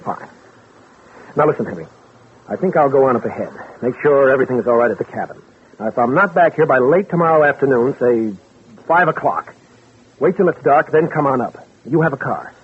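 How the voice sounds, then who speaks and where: A man plays a smooth schemer posing as a loyal pal, reassuring and quick to take charge. It is vintage radio drama, with a thin, phone-like sound and light noise. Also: mid-range voice, expressive, close to an everyday voice. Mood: brisk, persuasive. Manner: persuasive, reassuring, takes charge. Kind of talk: 1940s radio drama acting. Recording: noisy, tinny vintage radio recording.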